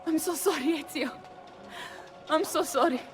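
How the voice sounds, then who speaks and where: An actress playing a confident, commanding Italian noblewoman with an Italian accent and a brisk, teasing manner. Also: high-pitched, soft background music, natural voice. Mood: apologetic.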